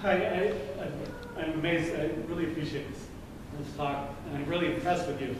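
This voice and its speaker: An older man speaks from the audience, far from the microphone, in a very echoey room. He talks earnestly and haltingly, circling back and repeating himself. Background noise runs under his slightly high voice. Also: steady tone. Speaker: audience member. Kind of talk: audience question, rambling.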